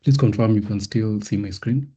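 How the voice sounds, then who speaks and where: A man's voice: fairly low pitch, moderate pace, warm tone, slightly rough, highs cut off. A man working as a sales engineer, giving a technical sales presentation on an online call with occasional fillers. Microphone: online meeting audio.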